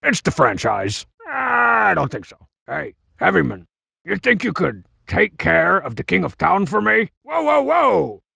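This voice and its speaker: A swaggering, scheming cartoon masked wrestler for a video game. The voice is pitched high and heavily gravelled, swooping widely as it boasts and mocks. It is petty and full of itself. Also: male voice, huge pitch swings, unhurried, bright, forceful tone, no echo, exaggerated voice. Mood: smug, then uneasy. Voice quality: gravelly, raspy. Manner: boastful, mocking, swaggering wisecracks. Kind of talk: video game character barks.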